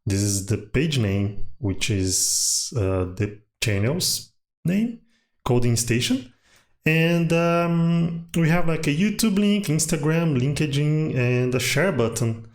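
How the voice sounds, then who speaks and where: A man's voice: fairly high pitch, lively intonation, takes it slowly. A man whose first language is Portuguese, hosting a casual coding tutorial and thinking aloud with many fillers as he works.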